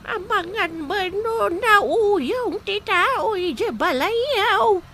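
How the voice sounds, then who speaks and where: A shrill, piercing old aunt in a radio comedy, drawling slowly and sounding bright and pointed. She is blunt and suspicious, and her teasing lands hard. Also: lively intonation, a little hiss or hum, full character voice. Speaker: visiting aunt.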